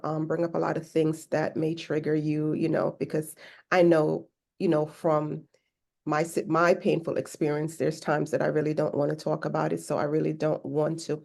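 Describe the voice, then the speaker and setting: A woman's voice: sits low, flat delivery, normal speed, vocal fry. A woman hosting a podcast interview, encouraging and speaking of God's grace. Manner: warm and encouraging, religious phrasing. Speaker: podcast host.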